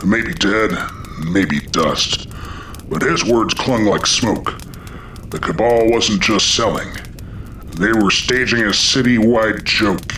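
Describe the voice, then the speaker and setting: A man playing a grim, world-weary dragon detective, terse and deadpan, in a noir radio play. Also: lowish voice, takes it slowly. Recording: echoey, noisy background.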